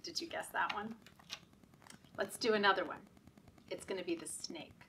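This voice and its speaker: A woman leading story time for young children in a sing-song, deliberate, encouraging way, often asking the children questions. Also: mid-range voice, pushed and bright, far from the mic, a little hiss or hum, poor audio.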